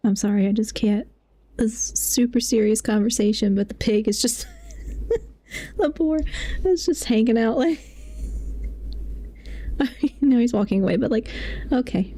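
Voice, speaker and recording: Female streamer, soft warm timbre a little on the high side, chatty playful gameplay commentary. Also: unhurried, long pauses. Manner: giggly, playful. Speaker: live streamer. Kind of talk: casual game stream commentary.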